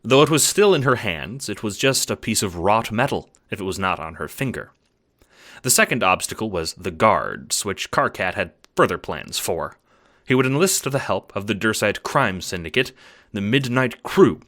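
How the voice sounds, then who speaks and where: A man's voice: sits low, pushed and bright. A man playing a precise, erudite alien troll who narrates an audio drama in a formal, pedantic manner. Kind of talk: scripted audiobook narration in character.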